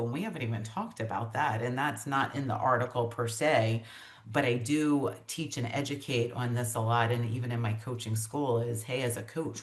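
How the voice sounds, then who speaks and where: A woman executive coach as an interview guest on a video call, explaining her ideas with enthusiasm and some restarts. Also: extremely low pitch.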